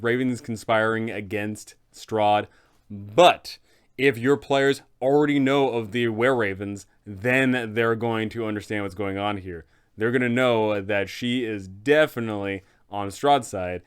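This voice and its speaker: A man's voice: medium pitch, smooth. A man with a clean, resonant voice presents a guide for running a tabletop game. He explains things conversationally and leans on key words for emphasis. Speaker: host, game master giving advice.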